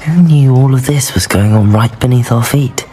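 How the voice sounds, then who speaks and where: A man's voice: medium pitch, normal speed, warm tone, a little hiss or hum, lightly acted. A man voicing a polite, level-headed teenage student in a video game, earnest, with a British accent. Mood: courteous. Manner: courteous, earnest. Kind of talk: video game character acting.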